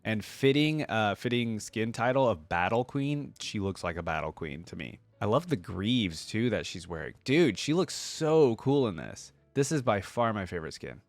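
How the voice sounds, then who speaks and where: A man's voice: fairly low pitch, lively intonation, normal speed, creaky, hardly any pauses, no echo, faint music behind. A man giving casual reaction commentary as a video host, thinking aloud in informal, slangy language.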